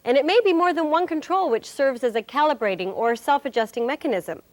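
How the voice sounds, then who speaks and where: A woman's voice: sits high, lively intonation, a little fast. A woman acting as a wry, cheerful presenter, giving scripted instruction in a corporate training film. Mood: instructive, playful.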